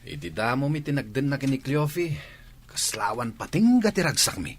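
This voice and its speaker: A man's voice: medium pitch, big pitch swings, moderate pace, a little hiss or hum, close to an everyday voice. A man playing a worn-out married friend who grumbles in a comic drama. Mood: wry complaint.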